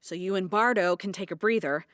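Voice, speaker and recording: A woman's voice: medium pitch, a little fast, fairly bright tone, studio quality, natural voice. Woman playing practical station bookkeeper lady, frank n a bit sarcastic, midrange voice, no room echo. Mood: frank, explaining. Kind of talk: naturalistic audio drama dialogue.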